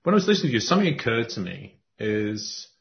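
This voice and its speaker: A man hosting a podcast conversation, talking in animated spurts and often restarting his sentences. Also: on the low side, fast talker, gravelly, slight background noise. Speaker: podcast host and coach. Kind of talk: casual conversation. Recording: muffled lo-fi audio.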